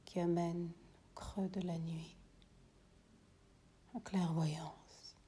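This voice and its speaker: A woman teaching yoga nidra, guiding a meditation in a soothing, hushed, breathy delivery that often drops to a near whisper, with long pauses. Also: on the low side, flat delivery. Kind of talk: guided meditation.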